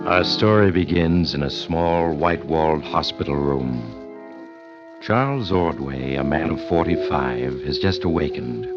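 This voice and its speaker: Creepy old radio mystery host, low voice, measured and ominous, big music behind. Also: male voice. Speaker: host and narrator. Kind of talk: 1940s radio thriller narration. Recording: muffled vintage radio recording. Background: loud music.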